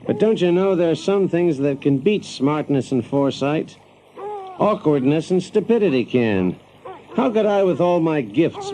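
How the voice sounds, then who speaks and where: A man's voice: a little high, noisy background, lightly acted. An actor playing a cocky, wry American adventurer, telling his story as dramatized first-person narration.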